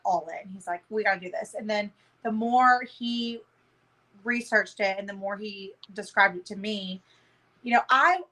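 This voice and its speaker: A woman's voice: fairly high pitch, pushed and bright. A brash, emphatic woman telling things in a casual group chat over a video call, swearing freely. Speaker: panel guest.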